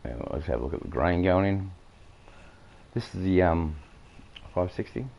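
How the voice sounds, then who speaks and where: A very deep, gravelly Australian man talks over a farming game, his voice croaky from coughing. He rambles and stops often for fillers, and his tone is dark and mellow. A faint hiss sits behind him. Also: lively intonation, highs cut off. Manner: rambling, halting, many fillers. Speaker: gameplay streamer. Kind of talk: casual farming-game commentary.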